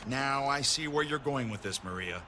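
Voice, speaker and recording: A man's voice: mid-range voice, animated, normal speed, close to an everyday voice. Smug, arrogant wrestling champion, played by a man. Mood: smug, dismissive. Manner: condescending, self-assured. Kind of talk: video game cutscene promo acting. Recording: clear noise behind the voice.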